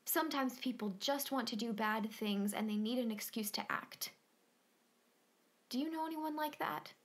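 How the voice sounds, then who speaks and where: An actress playing a guarded, probing woman in a stage drama, with measured delivery. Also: on the high side, close to an everyday voice. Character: guarded, probing woman hiding a dark secret.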